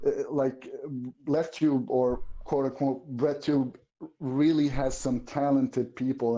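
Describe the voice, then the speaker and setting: Halting man, mellow tone. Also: medium pitch, lo-fi sound. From Syria. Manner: halting, searching for words. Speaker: guest viewer. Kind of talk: casual political discussion.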